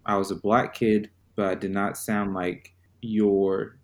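Low-voiced man, measured and reflective. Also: little pitch movement. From Zimbabwe. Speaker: podcast guest. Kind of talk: personal storytelling.

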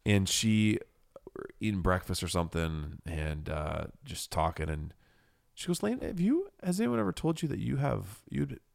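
A young man co-hosting a podcast, telling stories in a relaxed, rambling way with a deep, gravelly voice. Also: normal speed. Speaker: podcast co-host. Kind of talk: casual chat.

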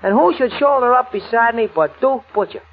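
An actor playing a nervous, dim but loyal crook's sidekick, stammering and muddled, with slangy grammar and a husky voice, in a 1940s radio drama. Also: male voice, very high voice, animated, fairly quick, exaggerated voice. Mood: flustered, rambling. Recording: muffled tinny old radio recording.

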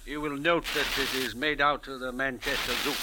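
A male actor playing a fussy, formally polite and evasive gentleman in a 1940s radio drama. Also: mid-range voice, steady tone, a little fast, natural voice. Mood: stiffly polite. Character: prim, evasive English gentleman buyer, outwardly polite. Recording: noisy old radio recording.

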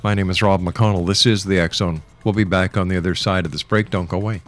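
A man's voice: sits low, fairly even intonation, quick pace, music in the background. A man hosting a talk radio interview, speaking as the presenter with his guest. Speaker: radio show host.

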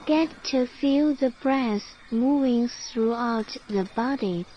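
A woman narrating a guided sleep meditation in short phrases with long pauses between them. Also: fairly high pitch, lo-fi sound, a little hiss or hum.

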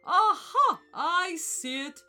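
A woman voicing a huge, smug, boastful male elephant in a children's storybook, booming and condescending. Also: sing-song, takes it slowly, pushed and bright, faint music behind, full character voice. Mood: gloating.

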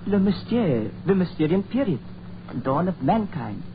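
Proud, bookish Frenchman for 1940s radio chiller; French accent, lightish pitch, narrow phone-like sound. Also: big pitch swings, a little slow, full character voice. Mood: earnest, learned. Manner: formal, eloquent, dramatic. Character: erudite, proud Frenchman driving foreign travellers, moodily eloquent. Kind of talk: 1940s radio horror acting. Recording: muffled, noisy 1940s radio recording.

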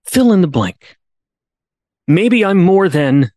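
A man's voice: high-pitched, very animated, slow pace, darkish tone, no echo, studio quality. A man hosting a podcast, telling a story in an earnest way, restarting on repeated words and rising to emphatic pleas. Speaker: podcast host. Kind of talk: storytelling monologue.

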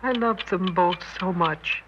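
An actress playing a loving, heartbroken wife and mother in a 1960s radio drama, soft and hushed, resigned to the end. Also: fairly low pitch, a little slow, close to an everyday voice. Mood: tearful, loving. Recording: muffled, noisy old radio recording.

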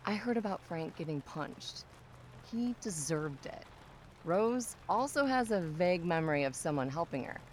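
A woman's voice: lowish voice, lively intonation, moderate pace, a little hiss or hum, natural voice. An actress playing a teasing, self-assured young woman, a protective friend, in an audio drama. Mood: teasing, reassuring. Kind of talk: audio drama acting, conversational.

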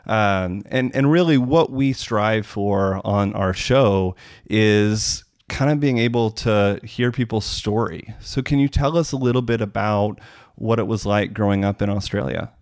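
Low-voiced American man hosting a podcast. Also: measured pace, no echo, clean studio sound. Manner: friendly, eager, hesitant. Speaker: podcast host. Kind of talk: casual interview.

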